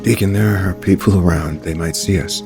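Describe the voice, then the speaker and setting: A man playing a gentle, considerate homecomer in an audio soap opera, soft-spoken and reserved. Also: low voice, normal speed, creaky, music in the background. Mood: shy, willing. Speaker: actor.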